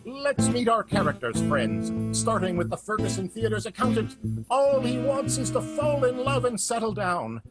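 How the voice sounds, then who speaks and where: High, animated male radio announcer over guitar. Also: normal speed. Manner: dramatic, playful, showy. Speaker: announcer and narrator. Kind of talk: theatrical comic scripted-style radio narration. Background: plucked guitar music.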